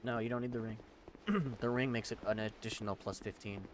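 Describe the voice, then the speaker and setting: A young man streaming a co-op game with friends, talking in a monotone and explaining game mechanics in casual chat. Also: medium pitch, a little fast. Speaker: gamer streaming a co-op session. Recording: noisy voice-chat capture.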